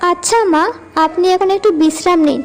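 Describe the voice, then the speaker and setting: A woman voicing a gentle, caring young village housewife in a cartoon, with a soothing, attentive manner. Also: extremely high pitch, brisk. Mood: reassuring. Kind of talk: cartoon dialogue acting. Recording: music bed behind voice.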